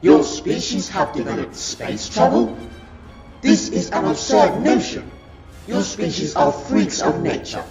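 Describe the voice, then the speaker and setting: A man voicing an indignant, scornful reptilian creature with a gravelly voice in an audio drama. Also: on the high side, very animated, a little slow, pauses often, heavily acted. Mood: scornful outrage. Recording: loud music under voice.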